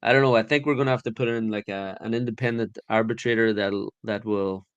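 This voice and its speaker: A man's voice: fairly low pitch, steady tone, brisk, clean tone, no echo, highs cut off. Hesitant Irish man, casual podcast guest. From Ireland. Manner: hesitant, many fillers and restarts. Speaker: podcast guest, conference founder.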